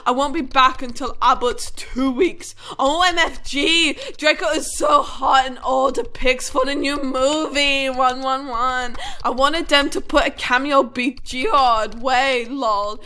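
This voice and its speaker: A woman's voice: high voice, sharp tone. A woman voicing a bratty, defensive teenage goth author, petulantly reading out internet slang in a comic note. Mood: gushing.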